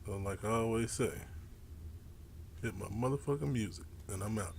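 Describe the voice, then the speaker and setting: A man's voice: lowish voice, normal speed, gravelly, a little hiss or hum. A man hosting a casual sports talk show, brash and boastful, swearing freely as he talks.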